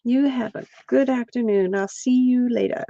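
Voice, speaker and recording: A woman's voice: a little high, moderate pace, gravelly, mellow, dry recording, lo-fi sound. A middle-aged woman from Pennsylvania, chatting casually with viewers while she crafts on a livestream. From central Pennsylvania.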